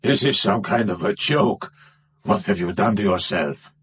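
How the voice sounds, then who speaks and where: A man's voice: lowish voice, lively intonation, measured pace, a bit bright, breathy edge, full character voice. An actor playing a proud, anxious European king in a British radio drama, formal and grave, imperious yet desperate. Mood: shocked.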